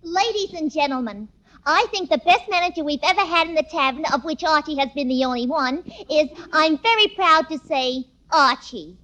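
An earnest, scatterbrained young lady in an old radio comedy. Her squeaky voice is high and sharp, over faint hiss. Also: lively intonation, normal speed. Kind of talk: 1940s radio comedy acting.

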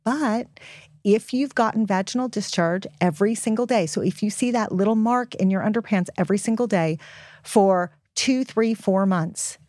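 A woman's voice: medium pitch, normal speed, a little mellow, no echo. Frank woman giving advice, dry close sound. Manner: frank, informal, emphatic. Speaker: guest author and health expert. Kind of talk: podcast interview, giving advice to young listeners.

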